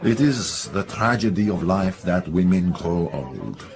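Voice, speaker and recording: A man's voice: low voice, animated, crowd noise. An actor playing a flirtatious, courtly Frenchman in a radio drama, with a French accent and formal, gallant phrasing. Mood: amused, flirtatious. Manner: gallant, formal, halting search for English words.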